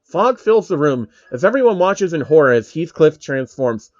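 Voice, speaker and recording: Male narrator, casual and irreverent comedic reading; slightly high pitch, dry close sound. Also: big pitch swings, normal speed, a little mellow, no room sound, lo-fi sound.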